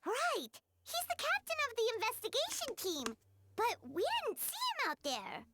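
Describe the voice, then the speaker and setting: A woman voicing a tiny, excitable fairy companion in an anime video game, with a squeaky childlike voice and wide-eyed, exclamatory delivery. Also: moderate pace, heavy background noise, exaggerated voice. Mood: alarmed. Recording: game music behind.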